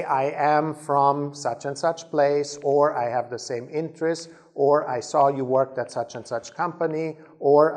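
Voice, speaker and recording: Male speaker, mid-pitched and gravelly, giving an informal leadership talk with frequent restarts. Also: darkish tone. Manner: conversational, stumbles and repeats words, acts out sample dialogue. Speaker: conference speaker.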